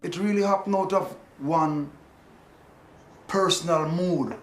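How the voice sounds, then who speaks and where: A man in an interview, explaining music by vocalising bass and piano parts. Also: takes it slowly. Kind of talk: reminiscing interview. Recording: echoey room with faint noise.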